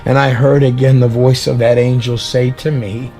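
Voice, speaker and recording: A man's voice: mid-range voice, flat delivery, moderate pace, warm tone. A man delivering a dramatic sermon and reading a prophecy aloud, theatrical and emphatic, drawing out phrases and posing rhetorical questions. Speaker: preacher and storyteller. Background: background music.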